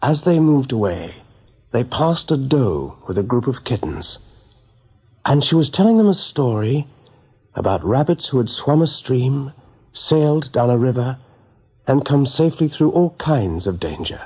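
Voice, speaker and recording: Expressive, husky male storyteller. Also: mid-range voice, measured pace. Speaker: narrator. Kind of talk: scripted radio drama narration. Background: soft music.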